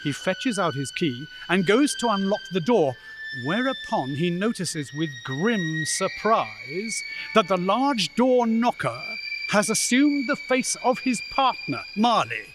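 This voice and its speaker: An actor narrating a radio play as a measured, dramatic storyteller of a gloomy ghost tale. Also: male voice, high voice, big pitch swings, background noise, heavily acted.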